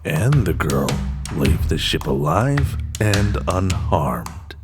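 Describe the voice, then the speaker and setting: An actor playing a laconic, deadpan male adventurer in an audio drama. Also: very deep voice, slow, exaggerated voice. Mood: dry, unhurried. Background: guitar and instrumental music.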